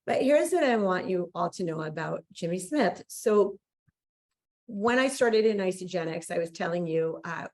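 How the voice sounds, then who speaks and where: Gravelly, effusive woman hosting a call. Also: lowish voice. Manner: effusive, emphatic. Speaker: call host. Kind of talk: motivational call introduction.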